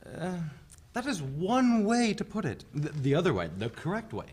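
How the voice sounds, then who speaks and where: Man acting colonial official, stage reading, husky, very lively intonation, formal, condescending, coldly polite, halting phrases. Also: sits high, heavily acted. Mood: dismissive, insistent. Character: smooth, coldly polite colonial government envoy, unbothered by cruelty. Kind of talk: dramatic reading of a stage play.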